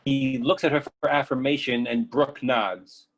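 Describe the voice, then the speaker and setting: Husky man reading stage directions. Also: mid-range voice, steady tone, unhurried, dull audio. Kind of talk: scripted narration.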